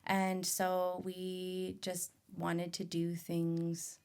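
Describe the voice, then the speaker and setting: A woman's voice: lowish voice, monotone, drawn-out words, a little mellow, clean tone. A woman speaking candidly and informally as a podcast guest, telling her personal story in a casual way. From remote rural island.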